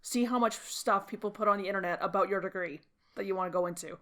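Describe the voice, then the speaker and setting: A woman's voice: mid-range voice, fast, fairly bright tone. Young Canadian woman in casual podcast chat. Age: mid twenties. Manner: informal, sweary. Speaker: podcast co-host.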